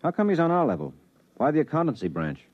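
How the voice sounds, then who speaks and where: A man's voice: fairly high pitch, huge pitch swings, quick pace. A man playing a harried, married investigator, skeptical but earnest, in 1950s radio drama style. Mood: businesslike, inquiring. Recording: muffled vintage 1950s radio recording.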